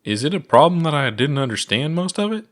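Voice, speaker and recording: A skeptical, curious alien companion, played by a male reader in a storybook tale. The voice is raised in pitch, with a candid, questioning delivery that sounds easily puzzled. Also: very animated, a little fast, fairly bright tone.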